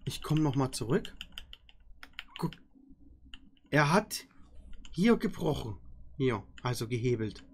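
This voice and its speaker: A man's voice: mid-range voice, big pitch swings, slow, creaky, long pauses, slight background noise. A young man giving casual, rambling, mocking commentary as a reaction video host. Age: young adult. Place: decorated room at home.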